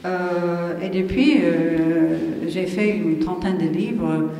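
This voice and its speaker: A woman's voice: fairly low pitch, slow pace, mellow. An older woman from English-speaking Canada with an English Canadian accent, whose first language is English, giving a chatty, digressive, self-deprecating public lecture. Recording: echoey hall from a distance.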